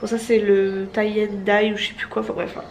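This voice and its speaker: A woman's voice: medium pitch, level tone, fairly quick, slight background noise. A woman in her thirties with a stuffy nose from a cold, chatting to a handheld camera at home in a rambling way. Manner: chatty, rambling, many restarts and fillers. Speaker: family vlogger. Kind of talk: casual vlog chat to camera. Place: apartment, indoors. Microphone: handheld camera at arm's length.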